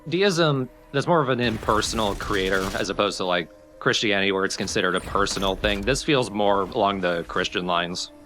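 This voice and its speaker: A man's voice: fairly low pitch, fairly quick, few pauses, pushed and bright, soft background music. A man co-commentating on a video game in a casual, chatty way. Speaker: co-commentator on a let's play. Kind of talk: casual chat over gameplay.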